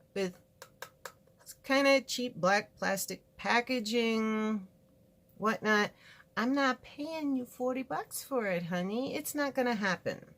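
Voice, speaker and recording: Chatty woman with a gravelly creak. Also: fairly high pitch, a bit bright. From California, USA. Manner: chatty, frank and rambling, with asides. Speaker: beauty vlogger reviewing makeup. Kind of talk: casual product review chat. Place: home studio with a fireplace.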